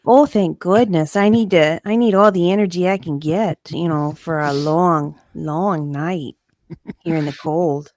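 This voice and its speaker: A woman co-hosting a dramatic reading of testimony, speaking in a conversational way with measured phrasing and some casual chat. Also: lowish voice, darkish tone, no echo. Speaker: co-host reading a witness's part from a deposition.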